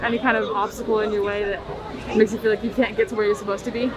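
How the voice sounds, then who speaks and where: A woman's voice: medium pitch, little pitch movement, fast talker, poor audio. A woman from New Jersey answering casually with hedges. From Northern New Jersey. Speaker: passerby. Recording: loud street crowd noise.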